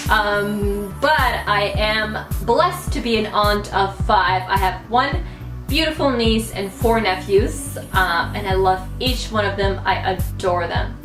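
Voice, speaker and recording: A woman's voice: mid-range voice, slow pace, reverberant, music in the background. A young woman, chatting casually to camera as a YouTuber, playful and full of fillers. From United States.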